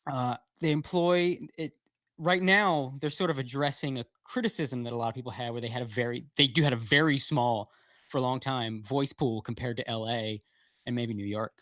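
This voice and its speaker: A man's voice: mid-range voice, normal speed, fairly bright tone, dry recording, studio quality. A man talking as a guest in a casual livestream chat, rambling with lots of fillers and restarts, blunt and swearing freely, heard over a video call. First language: English.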